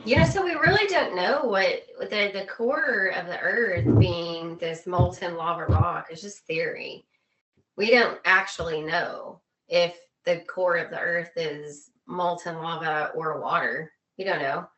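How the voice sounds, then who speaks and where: A woman's voice: fairly low pitch, measured pace, fairly bright tone, muffled recording. A woman in casual conversation as a podcast guest, reflective and often rephrasing her points.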